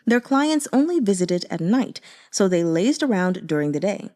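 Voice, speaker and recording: A woman's voice: medium pitch, sing-song, normal speed, a little mellow. A woman reading an audiobook as narrator, telling the story expressively.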